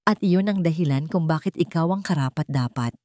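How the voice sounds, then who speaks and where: A woman voicing a solemn, remorseful old male hacienda patriarch in a dramatic audio storybook, speaking gravely and deliberately. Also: low-pitched, mellow, no echo, studio quality, close to an everyday voice.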